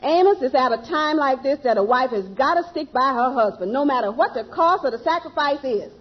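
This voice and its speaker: A woman's voice: high voice, expressive, a little fast. A woman playing a devoted, worried wife in a vintage radio sitcom, emphatic and folksy in her speech. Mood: resolute. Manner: emphatic, folksy nonstandard grammar. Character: devoted, worried, dramatic long-suffering wife. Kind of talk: 1940s-50s radio sitcom acting. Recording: muffled vintage radio recording.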